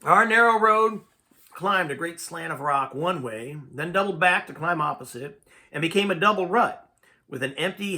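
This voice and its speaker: A man reading a story aloud as narrator, with a dramatic, expressive, folksy delivery. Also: on the high side. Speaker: amateur story reader.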